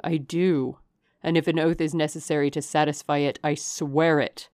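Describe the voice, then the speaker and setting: A woman voicing a passionate, possessive gentleman lover in an audiobook, speaking in an urgent, imperious, declamatory way. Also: sits low, steady tone, moderate pace, dry recording, clean studio sound, close to an everyday voice. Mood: wild, possessive. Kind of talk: dramatic audiobook character reading.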